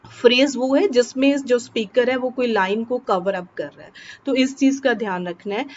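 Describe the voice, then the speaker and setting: Hindi-English woman coaching exam speaking. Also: fairly high pitch. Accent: Indian English. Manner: mixes Hindi and English, slows down for English key phrases. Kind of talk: teaching lesson. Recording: dull lo-fi with faint background noise.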